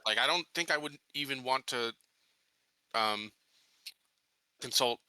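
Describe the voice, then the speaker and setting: A man's voice: medium pitch, a little slow, sharp tone, clear, resonant tone, dry recording. A man playing a tabletop game, casually talking through his character's orders and plans. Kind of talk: casual in-character planning and chat.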